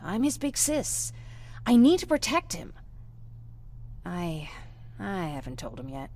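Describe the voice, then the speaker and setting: A woman playing a gentle, wistful young survivor with a creaky voice in a video game. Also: fairly low pitch, big pitch swings, slow, full character voice. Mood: hesitant, protective. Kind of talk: video game dialogue acting.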